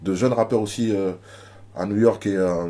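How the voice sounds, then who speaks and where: A man's voice: fairly low pitch, steady tone, moderate pace, mellow. A rapper being interviewed, talking casually and often repeating words while he searches for them. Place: media office.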